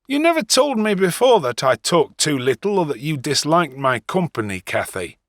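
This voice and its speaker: An actor playing a sullen, wounded young farmhand in a dramatic audiobook, gruff and resentful. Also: male voice, fairly high pitch, big pitch swings, normal speed, dry recording, studio quality. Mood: hurt, agitated.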